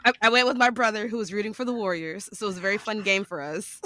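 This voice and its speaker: Bright, raspy-voiced woman co-host. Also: mid-range voice, a little hiss or hum. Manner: animated, playful, quick to laugh and joke. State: raspy from yelling at a game. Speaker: podcast co-host. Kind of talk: casual chat.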